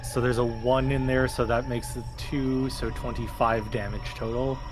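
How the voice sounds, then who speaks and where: A man's voice: medium pitch, level tone, moderate pace, background noise. A man speaking casually as a player in a tabletop roleplaying game. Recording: loud music under the voice. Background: loud background music.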